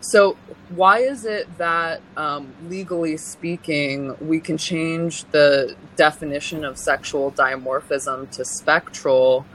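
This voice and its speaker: A young woman from California with a deep voice, giving personal testimony as an interview guest in an earnest, halting way, full of pauses and restarts. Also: a bit bright, slight background noise, low-quality recording.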